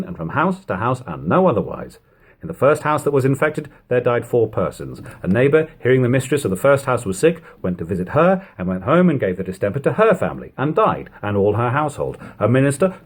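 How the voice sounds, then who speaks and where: Husky, expressive man reading aloud. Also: medium pitch. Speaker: audiobook reader.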